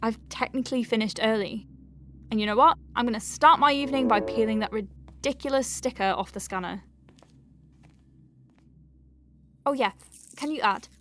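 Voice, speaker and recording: A woman playing a conscientious, wry and compassionate doctor dictating patient notes in an audio drama, measured and articulate with conversational asides. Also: fairly high pitch, close to an everyday voice. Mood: lighter, wry. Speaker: doctor at a clinic.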